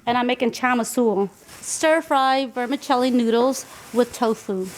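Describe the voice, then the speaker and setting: A woman's voice: a little high, moderate pace. A woman, talking casually and reflectively while she cooks. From Nashville, United States. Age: 40s. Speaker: home cook, interviewee. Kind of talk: casual storytelling while cooking. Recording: clicky, noisy kitchen field recording.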